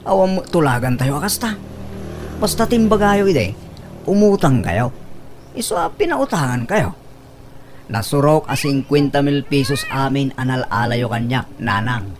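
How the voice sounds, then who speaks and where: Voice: medium pitch, sing-song, normal speed, a little hiss or hum, natural voice. An actor playing an insistent young son-in-law demanding money in comedic radio drama, with an Ilocano accent.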